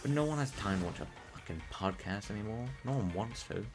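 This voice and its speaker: A British man streaming a game, chatting casually in a rambling, profane way that he calls monotone. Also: on the low side, fairly quick, music in the background. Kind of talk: casual live-stream chat while gaming.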